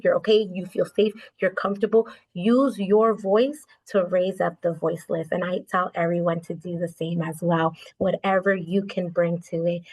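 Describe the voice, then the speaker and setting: A woman's voice: mid-range voice, poor audio. A woman from the United States, a leader of a social work nonprofit, answering a question on a video call with earnest conviction. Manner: earnest, speaks with conviction, frequent "right?". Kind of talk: video call panel discussion.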